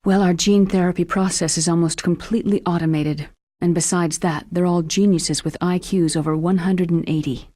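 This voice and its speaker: A woman's voice: on the low side, steady tone, normal speed, dark tone, no room sound, natural voice. A woman playing a serious, composed military scientist in a video game, speaking precisely and matter-of-factly. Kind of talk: video game cutscene acting.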